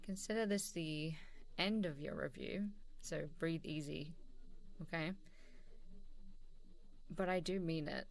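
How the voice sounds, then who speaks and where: A woman playing a warm, supportive, easygoing boss in a casual, encouraging ASMR role-play. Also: deep voice, slow pace, gravelly, pauses often, heavily acted. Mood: caring, encouraging. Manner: encouraging, casual, chuckling hesitantly between phrases. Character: supportive, warm, easygoing female boss giving a praising review. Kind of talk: ASMR role-play, softly conversational one-sided dialogue.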